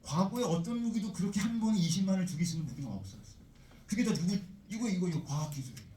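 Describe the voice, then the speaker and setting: Guy teacher lecturing, chatty and animated, asking the class stuff all the time, echoey room, mic a bit far off. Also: high voice, rapid-fire, slight background noise. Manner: chatty, animated, full of rhetorical questions.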